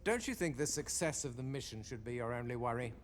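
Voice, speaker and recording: A man playing a loyal, level-headed army officer in a video game cutscene, measured and reasoning. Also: medium pitch, animated, slight background noise, natural voice.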